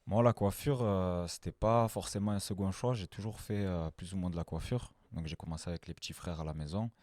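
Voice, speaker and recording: Young guy in his twenties, very low mellow voice, easygoing and plain-spoken. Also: fairly quick, dry recording. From France. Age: 20s. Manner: relaxed, simple, with fillers. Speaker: guest, hairdresser. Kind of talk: casual interview answers.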